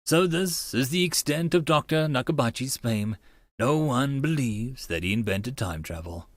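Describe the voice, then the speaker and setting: A man's voice: mid-range voice, lively intonation, normal speed, dry recording. A man narrating a first-person story in a dramatic audiobook style.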